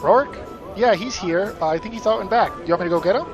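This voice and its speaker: High-voiced man playing a tavern barkeep. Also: fast. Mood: helpful. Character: helpful, casual male tavern barkeep. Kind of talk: fantasy radio drama acting. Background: music.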